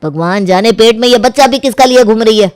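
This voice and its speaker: Woman acting a high-voiced, scornful village matriarch. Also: a little fast, darkish tone, smooth, close to an everyday voice. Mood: accusing. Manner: scornful, commanding. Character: harsh, accusing village matriarch, cruel and domineering. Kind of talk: melodramatic audio story acting.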